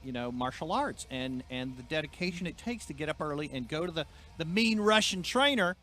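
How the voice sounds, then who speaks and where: A man's voice: a little high, animated, a little fast, music in the background. A man co-hosting an interview show, enthusiastic and rambling, restarting sentences and starting with "look". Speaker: co-host. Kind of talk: casual interview chat.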